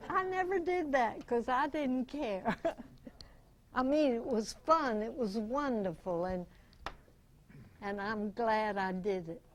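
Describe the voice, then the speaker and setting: A woman in her nineties, a veteran actress, reminiscing before a club audience in a folksy, halting, chuckling way. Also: on the high side. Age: 90s. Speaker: veteran radio actress, guest speaker. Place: club meeting room. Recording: noisy amateur meeting recording.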